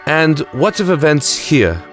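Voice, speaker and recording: A man playing a composed, wry time-travelling lord in an audio drama, measured and dry as he commands and recalls. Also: fairly high pitch, big pitch swings, music in the background. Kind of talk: audio drama acting and narration.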